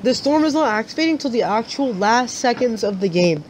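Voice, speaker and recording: A sick gamer with a sore throat gives a raspy, rambling walkthrough of a game glitch. The voice sits at a middling pitch and carries a faint hiss of background noise. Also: animated, fairly quick, low-quality recording. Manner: rambling, chatty explaining. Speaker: gaming channel host. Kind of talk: gameplay tutorial commentary.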